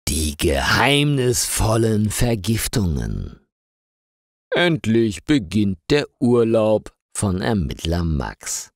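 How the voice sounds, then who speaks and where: Slow, deep-voiced male storyteller. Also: no room sound, clean studio sound. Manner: slow, clearly articulated reading with rising and falling emphasis. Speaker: narrator. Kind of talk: scripted story narration for language learners.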